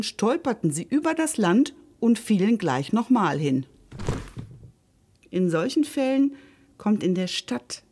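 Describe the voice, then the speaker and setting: A woman's voice: medium pitch, darkish tone. A woman hosting and reading a scripted children's story expressively, speaking directly to her listeners. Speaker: storyteller and host.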